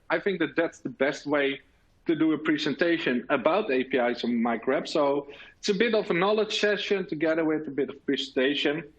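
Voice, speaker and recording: A man's voice: fairly high pitch, lo-fi sound. A man with a Dutch accent, a native Dutch speaker, presenting earnestly at a virtual conference. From Netherlands. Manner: earnest, many filler sounds and restarts.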